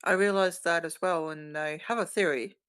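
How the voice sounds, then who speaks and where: A woman's voice: on the low side, steady tone, normal speed, fairly bright tone, smooth, lightly acted. A woman playing a smug male cyborg scientist, wordy and condescending, in a screenplay table read. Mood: smugly analytical. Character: Gizzy, towering thin male cyborg scientist, smugly analytical. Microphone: remote online meeting.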